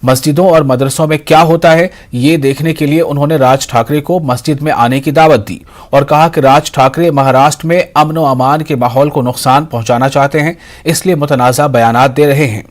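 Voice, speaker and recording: A man's voice: on the high side, steady tone, normal speed. A man reading scripted news in a formal style as an anchor.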